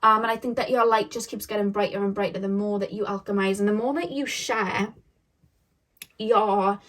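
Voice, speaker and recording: A woman's voice: medium pitch, fairly even intonation, moderate pace, fairly bright tone. Chatty young woman giving a tarot reading. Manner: rambling, many fillers and self-corrections. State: voice getting shaky. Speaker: tarot reader. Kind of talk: casual tarot reading monologue.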